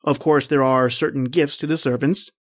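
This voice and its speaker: Radio drama executor male actor: stiffly formal, deliberate, dutiful gentleman, very little room sound. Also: medium pitch, steady tone, no room sound, studio quality, natural voice. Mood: formal, measured. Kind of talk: old-time radio drama acting.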